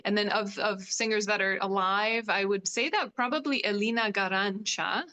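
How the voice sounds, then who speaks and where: A woman from Poland chats as a podcast guest, her talk loose and conversational and peppered with "like." Her voice sits at an ordinary middle pitch for a woman. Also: moderate pace, sharp tone, smooth. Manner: chatty, many "like" fillers. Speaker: guest, classical singer. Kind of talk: casual interview chat.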